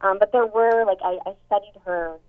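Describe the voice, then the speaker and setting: A young actress with a somewhat deep voice, chatting casually in an interview with many fillers and restarts. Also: female voice, steady tone, a little fast. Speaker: actress and voice actor. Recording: tinny phone-like line.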